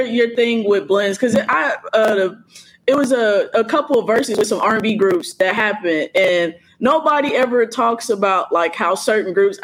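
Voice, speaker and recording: A man with a notably high speaking voice, co-hosting a casual chat show. He asks his questions haltingly and keeps restarting as he searches for the right words. His tone is informal and conversational. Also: low-quality recording. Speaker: show co-host.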